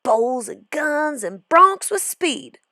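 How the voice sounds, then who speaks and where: Woman as a demanding, lively little cowboy boy. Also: sits high, slow pace, no echo, clean studio sound, voice pushed up, full character voice. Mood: surprised. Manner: demanding, excitable. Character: greedy, insistent young cowboy boy.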